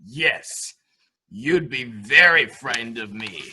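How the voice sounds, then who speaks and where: A man's voice: medium pitch, expressive, slow, sharp tone, a little hiss or hum, heavily acted, poor audio. A man playing a childlike, dangerously unhinged killer in a murder mystery drama, boasting in a sing-song way with repeated phrases. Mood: gleeful, menacing. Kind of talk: amateur murder mystery audio drama acting.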